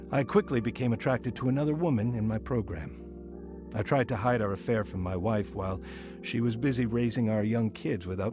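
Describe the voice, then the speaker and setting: A man's voice: mid-range voice, natural voice. Man playing a regretful father, music behind. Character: regretful married schoolteacher father telling his life story. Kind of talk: radio drama narration and dialogue.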